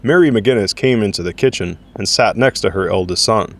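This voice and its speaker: A man narrates a story in a fairly low voice. His delivery is scripted, descriptive storytelling in the third person. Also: brisk, creaky, a bit bright.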